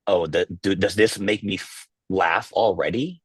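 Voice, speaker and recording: A man raised in the Philippines, a guest chatting casually in an interview, halting with many fillers and restarts. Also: deep voice, husky, no echo. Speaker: podcast guest, content creator.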